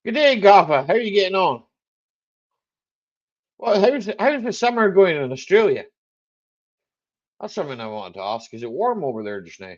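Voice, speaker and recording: A man with a strong Scottish Highland accent hosting a casual live chat, joking, laughing often and dropping "aye" and "eh" into his talk. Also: sing-song, normal speed, pauses often, muffled recording. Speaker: livestream host.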